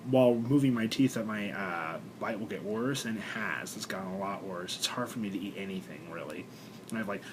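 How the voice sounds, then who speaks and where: A young man with a congested, stuffed-up voice, talking haltingly in a personal video diary with many fillers and restarts. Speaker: vlogger. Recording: noisy recording with low rumble.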